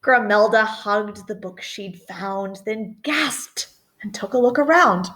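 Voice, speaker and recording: Animated woman reading a picture book aloud. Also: medium pitch. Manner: animated, deliberate, dramatic emphasis. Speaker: storytime reader. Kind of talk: expressive children's picture book read-aloud with chatty asides.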